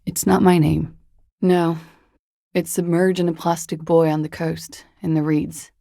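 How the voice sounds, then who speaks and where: An actress playing a weary, guarded young woman on the run in a video game, speaking in a hesitant, subdued, confessional way with a breathy, gravelly voice. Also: little pitch movement, unhurried, warm tone, dry recording, clean studio sound. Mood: guarded, resigned. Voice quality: low, breathy, husky and gravelly. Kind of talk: video game character dialogue, intimate and understated.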